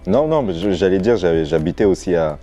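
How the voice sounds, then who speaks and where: A man's voice: low-pitched, expressive, brisk, mellow, dull audio, faint music behind. A man speaking as a podcast guest, explaining things in an informal, conversational way. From France, Paris suburbs, Martinican family. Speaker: podcast guest, financial educator. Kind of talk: casual interview, recounting his path.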